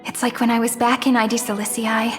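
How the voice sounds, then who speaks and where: Woman voicing a gentle young game heroine, soft, earnest, reflective, fairly high voice, music underneath. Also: little pitch movement, a little fast, natural voice. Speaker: game character. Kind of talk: game cutscene voice acting. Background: soft game score.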